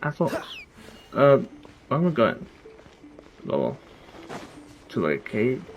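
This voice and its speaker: A man's voice: medium pitch, wildly swooping pitch, measured pace, vocal fry, dull audio, slight background noise. A man giving casual gameplay commentary as a streamer, rambling and often trailing off mid-thought. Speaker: game streamer. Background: game music.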